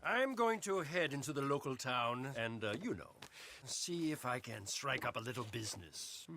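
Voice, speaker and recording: An actor playing a polite, businesslike moneylender in an outlaw gang in a formal video game performance. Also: male voice, medium pitch, animated, moderate pace, gravelly, bright, forceful tone, a little hiss or hum.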